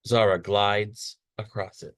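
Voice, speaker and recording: A man reading a children's picture book aloud with expressive, dramatic storytelling delivery. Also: on the low side, slow pace. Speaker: storybook reader.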